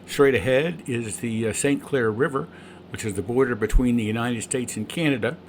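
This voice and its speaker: Man, mid-pitched, pilot, flight narration and radio calls, cockpit noise. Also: moderate pace. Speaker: private pilot and vlogger.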